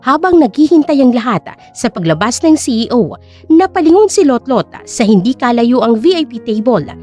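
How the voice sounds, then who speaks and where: A woman narrating an audio drama with a steady, storytelling delivery. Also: a little high, moderate pace, soft background music.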